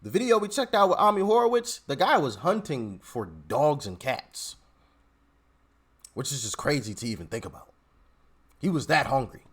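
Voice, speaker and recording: Animated man reacting to clips. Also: medium pitch, normal speed, long pauses, husky. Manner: animated, exclaiming, trails off and restarts. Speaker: reaction video host. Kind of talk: casual reaction commentary.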